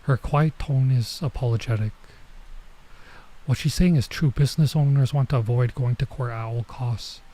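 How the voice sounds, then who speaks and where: A man streaming a visual novel, reading it aloud with casual commentary and thinking out loud as he goes. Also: mid-range voice, normal speed. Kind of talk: let's play read-aloud with casual commentary.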